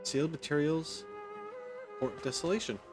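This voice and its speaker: A man's voice: a little high, normal speed, music in the background. A man doing casual let's play commentary, thinking aloud informally and reading game text out as he goes. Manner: informal, rambling, thinks aloud, mild swearing. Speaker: video game streamer.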